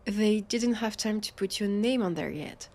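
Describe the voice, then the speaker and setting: A woman with a French accent playing a brusque, sarcastic vampire detective in a scripted audio roleplay, with halting, flustered delivery. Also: mid-range voice, darkish tone, natural voice. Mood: disbelieving, flustered.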